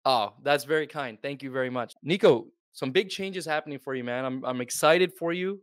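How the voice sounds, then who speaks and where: A man's voice: medium pitch, a little fast, no room sound, studio quality. A man hosting a podcast, talking in an earnest, friendly way with frequent fillers. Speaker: podcast host. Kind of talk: casual interview chat.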